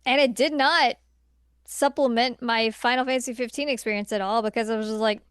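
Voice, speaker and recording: A woman's voice: a little high, fairly even intonation, brisk, fairly bright tone, clean tone, no room sound, clean studio sound. A woman co-hosting a podcast in casual, informal conversation, emphasizing points by repeating herself. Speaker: podcast co-host. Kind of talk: casual chat, film ranking discussion.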